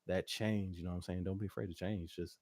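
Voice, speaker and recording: A man's voice: sits low, fairly even intonation, quick pace, a little mellow, no echo, studio quality. A man talking casually as a guest music artist in an interview, slangy and rambling, often checking that the listener follows. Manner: slangy, rambling, frequent "you know what I'm saying".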